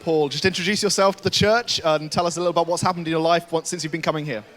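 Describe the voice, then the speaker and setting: A man's voice: fairly high pitch, fairly even intonation, rapid-fire, a bit bright. A man hosting a church baptism service, introducing each person with enthusiastic encouragement. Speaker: pastor leading baptisms.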